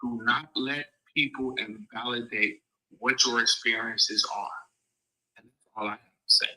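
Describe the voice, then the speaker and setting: A man's voice: medium pitch, fairly even intonation, a little slow, pushed and bright, rough recording. A man speaking as a guest on a live panel, earnest and preachy, talking straight to the listeners. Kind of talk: casual live panel discussion.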